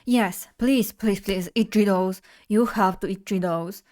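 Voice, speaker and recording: A woman's voice: mid-range voice, unhurried, darkish tone. Young woman, bubbly casual streamer talking to chat. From Poland. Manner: bubbly, chatty, addresses chat directly. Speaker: vtuber streamer.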